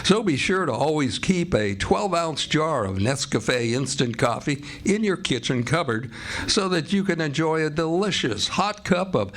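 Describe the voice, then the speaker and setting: Male old-time radio announcer, slightly high voice. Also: animated, a little slow, fairly bright tone. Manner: formal, presentational delivery. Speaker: announcer and director. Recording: live stage show with music and audience. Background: music and audience noise.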